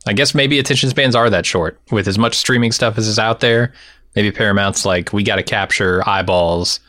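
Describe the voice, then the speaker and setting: A man's voice: fairly low pitch, normal speed, sharp tone, dry recording, clean studio sound. A man, co-hosting a podcast and giving his opinions in casual chat. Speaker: podcast co-host.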